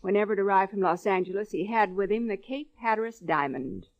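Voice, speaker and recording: An American actress playing a businesslike female gem dealer, crisp and matter-of-fact, in old-time radio drama style. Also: mid-range voice, fairly quick, natural voice. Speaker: radio actress in supporting part. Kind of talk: 1940s-50s American radio drama acting. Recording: dull old radio recording.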